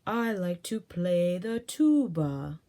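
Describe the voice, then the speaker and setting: Woman voicing Big Bird, slow and emphatic. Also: lowish voice, sing-song, mellow, exaggerated voice. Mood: emphatic, enthusiastic. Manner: emphatic, drawn-out words. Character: big bird who loves big things, enthusiastic.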